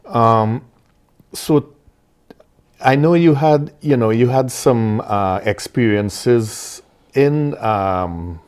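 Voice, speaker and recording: A man's voice: medium pitch, extremely slow, darkish tone, clean tone. A man from Trinidad with a Trinidadian accent, hosting an interview and asking long questions padded with "you know". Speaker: interview host.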